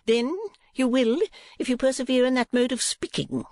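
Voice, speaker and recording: Haughty audiobook madame acted by a narrator, raised pitch, brisk, sharp-tongued, close dry sound. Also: flat delivery, dry recording. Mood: indignant. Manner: imperious, brisk, put-upon. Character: haughty, pouting middle-aged businesswoman wife, sharp-tongued.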